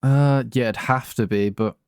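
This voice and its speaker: A man's voice: mid-range voice, normal speed, darkish tone, clear, resonant tone, no echo, studio quality. A man co-hosting a podcast in casual, slangy, sweary conversation about films. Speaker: podcast co-host. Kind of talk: casual chat, film discussion.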